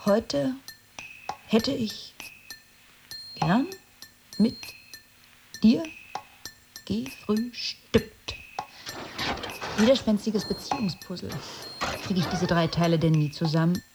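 An actress playing a restless, frustrated writer in an introspective radio drama monologue, speaking deliberately and poetically. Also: female voice, medium pitch, music in the background. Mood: wistful. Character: restless, frustrated writer longing for her silent inner voice.

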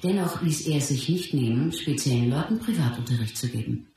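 An actress playing a wife who recalls life with her husband in a measured, composed radio drama narration. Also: very deep voice, expressive, echoey room, background noise. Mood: reflective. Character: Bruce Lee's wife, reflective woman recalling their life.

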